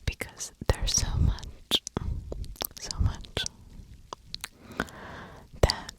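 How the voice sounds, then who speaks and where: Woman whispering slowly, ASMR style. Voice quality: breathy, unvoiced whisper. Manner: lingering, repeats words. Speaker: ASMR video host, true crime narrator.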